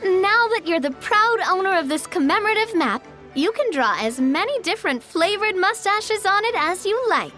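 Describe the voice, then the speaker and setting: A woman's voice: very high-pitched, lively intonation, moderate pace. A woman voicing a flamboyant, playful and grandiose showrunner in an anime game, speaking with theatrical flourishes over music. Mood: upbeat. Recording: music behind the voice.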